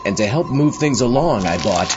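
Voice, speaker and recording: A tongue-in-cheek zombie horror host played by a man reading scripted comic narration. His medium voice swings theatrically, a bit dull in tone, with music clearly behind. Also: medium pitch, expressive, normal speed, close to an everyday voice. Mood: wry, theatrical.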